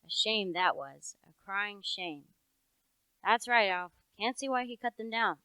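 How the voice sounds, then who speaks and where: A woman's voice: medium pitch, lively intonation, a little slow, bright, forceful tone, clear, resonant tone, no room sound. A teenage female actor playing an opinionated, suspicious rustic village constable in a radio play, folksy and insistent. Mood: regretful.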